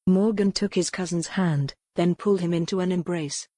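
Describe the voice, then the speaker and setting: A woman narrating a novel in a measured, even storytelling manner on a close mic. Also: fairly low pitch, no echo. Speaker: audiobook narrator. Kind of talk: scripted narration.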